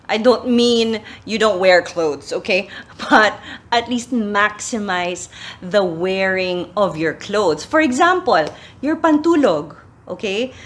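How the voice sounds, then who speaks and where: A middle-aged woman with a Filipino accent, chatting casually to camera with sustainable laundry tips, slipping in Tagalog phrases, recorded in a small plain room. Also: medium pitch. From Philippines. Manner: chatty, switches into Tagalog phrases, animated gestures. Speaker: sustainability vlogger.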